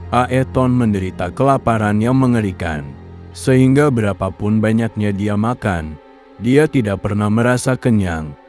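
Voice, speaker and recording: A man narrating a dubbed cartoon story in a measured, steady way. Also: on the low side.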